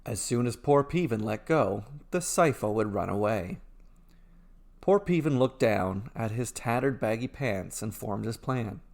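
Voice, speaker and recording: A man narrating a scripted fairy tale as a storyteller, in a measured, deliberate way. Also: on the low side, lively intonation, gravelly, darkish tone. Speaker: storyteller narrating his own fairy tales.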